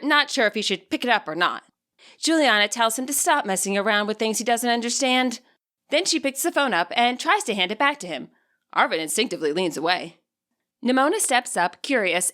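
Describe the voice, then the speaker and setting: Bright, animated woman narrating a story. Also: on the high side, brisk. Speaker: fan fiction author and audiobook narrator. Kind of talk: expressive scripted story narration.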